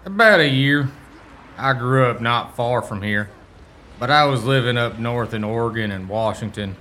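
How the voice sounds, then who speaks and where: A man's voice: medium pitch, expressive, moderate pace, bright, forceful tone, slight background noise. A man playing an easygoing, plainspoken homeless former timber worker in a radio play, with a folksy manner.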